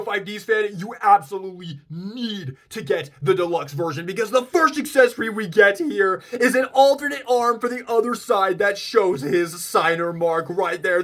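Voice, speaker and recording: A man hosting a casual toy unboxing and review, gushing and excitable as he demonstrates things step by step in informal language. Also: high voice. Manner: gushing, excitable, exclaims often. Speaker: toy review video host.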